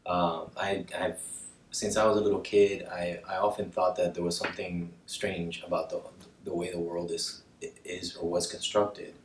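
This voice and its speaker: A man's voice: sits low, flat delivery, normal speed, far from the mic, slight background noise. A man from Colombia talking reflectively about himself as a podcast guest. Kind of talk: reflective personal interview talk. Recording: roomy echo, away from the mic.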